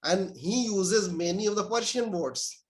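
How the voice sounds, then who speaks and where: A man, an Urdu speaker, answering interview questions as a scholar and pausing often with fillers and restarts. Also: high voice. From India. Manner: hesitant, many filler sounds and restarts.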